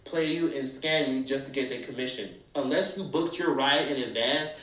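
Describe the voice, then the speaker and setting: A man giving blunt, animated travel advice in a casual monologue, sometimes acting out strangers' voices. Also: mid-range voice, moderate pace. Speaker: travel vlogger. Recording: roomy echo from a distance.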